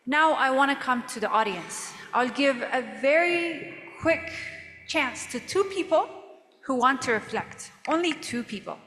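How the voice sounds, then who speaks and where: A brisk, friendly woman moderates a panel discussion. Her voice sits a little high and carries a long hall reverb. Faint noise hums underneath. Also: expressive, a bit bright. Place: large conference hall.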